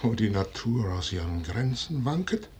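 An actor playing a proud, ambitious older military commander in a declamatory 1950s German radio play style. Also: male voice, fairly low pitch, sing-song, normal speed, darkish tone, slight background noise. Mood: wounded, grave. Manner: declamatory, theatrical. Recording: 1950s radio drama recording.

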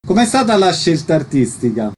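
A man's voice: fairly high pitch, sing-song, fairly quick, darkish tone, a little hiss or hum. A man presenting a radio programme with scripted introductions and short interview questions.

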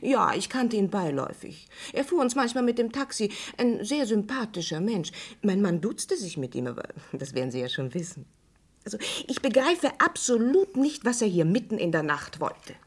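A woman's voice: mid-range voice, very animated, normal speed, breathy edge. An actress playing a chatty, politely evasive married woman being questioned in a radio crime drama. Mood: sympathetic. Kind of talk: German radio crime play acting, 1950s to 80s.

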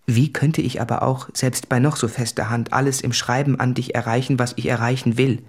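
An actor playing an anguished, self-tormenting suitor in an earnest, deliberate literary monologue for radio drama. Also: male voice, mid-range voice, fairly even intonation, husky. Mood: confessional.

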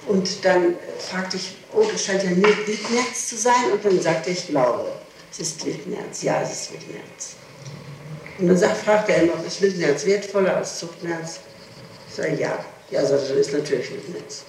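A middle-aged woman answering questions in an interrogation, speaking haltingly, repeating herself and protesting. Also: fairly low pitch, animated, darkish tone. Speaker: suspect under interrogation. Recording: echoey, distant, noisy archival 1960s tape.

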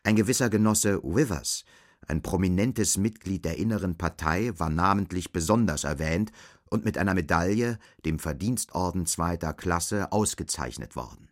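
A man's voice: low-pitched, normal speed. A man narrating a literary radio drama from a script.

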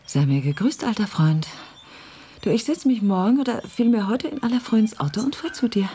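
An actress in a radio drama playing an impulsive, teasing, wryly irritable woman with a spontaneous, halting delivery. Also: a little high, lively intonation, warm tone, slightly rough.

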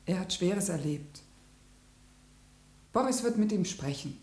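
An actress playing a gentle but serious woman revolutionary in a German radio play, speaking in a soothing, measured way. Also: fairly low pitch, expressive, breathy edge. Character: gentle, grave female revolutionary comrade. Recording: loud music under the dialogue.

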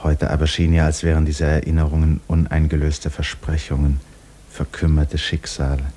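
A man narrating a literary radio drama in a grave, measured, restrained manner. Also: rumbling low, monotone, mellow. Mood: sombre. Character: sombre male literary narrator. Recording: faintly noisy old radio recording.

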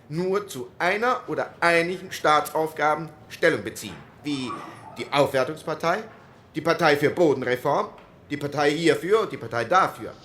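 A man's voice: on the high side, fairly bright tone, a little hiss or hum. An actor playing a middle-aged male parliamentarian who argues his points in committee debate.

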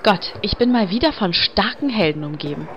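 A woman's voice: medium pitch, expressive, fairly quick, crowd noise, natural voice. A woman playing a dry, sarcastic, practical heroine in a fantasy parody radio play, on a dull, noisy recording. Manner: sardonic. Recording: dull sound, clear background noise.

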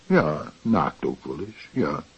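An actor playing a wry, down-to-earth middle-aged widower in a radio drama, plain-spoken and terse. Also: male voice, very deep voice, sing-song, takes it slowly, breathy edge, lo-fi sound, full character voice. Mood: guarded, matter-of-fact. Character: wry, down-to-earth widower, night worker who paints.

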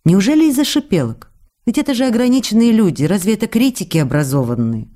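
A woman's voice: mid-range voice, fast, lightly acted. A woman acting in a radio drama as an affectionate, teasing actress reading her intimate letters to a faraway lover. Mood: soothing, indignant. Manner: intimate, coquettish, expressive.

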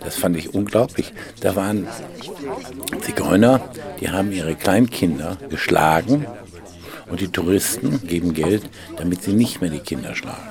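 A man's voice: low voice, lively intonation, moderate pace, crowd noise. A man playing a detached intellectual in a naturalistic conversational radio drama, musing and often checking for agreement. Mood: detached, fascinated. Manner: musing, seeks agreement with question tags.

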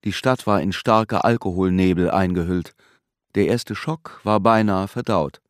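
An actor as the narrator of a German radio play, a measured, sombre storyteller reading literary prose. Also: male voice, deep voice, no echo, clean studio sound. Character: measured, sombre storyteller of a besieged resort town.

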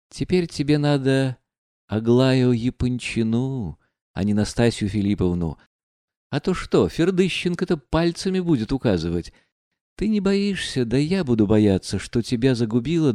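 Guy reading as a bitter proud young woman, mocking and reckless, voice swinging up and down, dry mellow sound. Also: mid-range voice, dry recording. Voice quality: mocking, defiant.

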